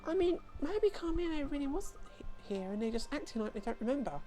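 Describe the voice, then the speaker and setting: A woman's voice: high voice, very animated, brisk, dark tone, faint music behind. A woman voicing an eager, inquisitive young boy apprentice in an earnest game fan dub. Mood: eager, speculative. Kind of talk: fan-dubbed game dialogue.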